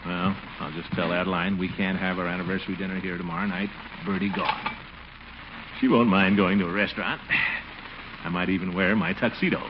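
An actor playing a pompous, flustered, soft-hearted middle-aged uncle in a 1940s radio comedy, exclaiming and blustering. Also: male voice, deep voice, fairly even intonation, a little fast, close to an everyday voice. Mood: fretful. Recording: noisy, muffled 1940s radio recording.

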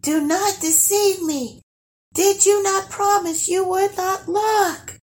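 A clever young storybook girl, played by a woman, high-voiced and bold. She coaxes sweetly in a wheedling sing-song that swings widely in pitch. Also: big pitch swings, measured pace. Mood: eerie, distant warning. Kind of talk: storybook character voice.

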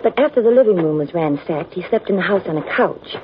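An actress plays a crisp, guarded lady who owns a country estate. Her pitch moves expressively in old radio drama style. The vintage recording is dull, with light hiss. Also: lively intonation, fast talker, close to an everyday voice. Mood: anxious, forthcoming. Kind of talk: 1940s radio drama acting. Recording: muffled, hissy 1940s radio recording.

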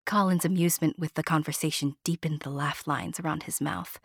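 A woman narrating a scripted story straight to the listener in a playful, intimate, theatrical way, often hushed. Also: low-pitched, fairly even intonation, brisk, gravelly, breathy edge, dry recording, studio quality.

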